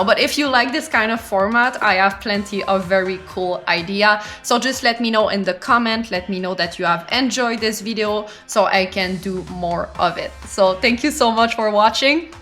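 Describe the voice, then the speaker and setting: A woman's voice: medium pitch, pushed and bright. A woman in her twenties with a French Canadian accent, a native French speaker, chatting casually and unscripted as a vlogger answering questions. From Quebec, Canada. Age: 20s.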